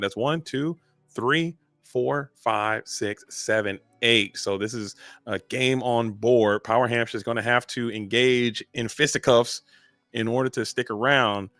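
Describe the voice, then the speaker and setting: A man giving lively, joking play-by-play commentary on a live card game. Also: medium pitch, dry recording. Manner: energetic, joking, drawn-out emphasis.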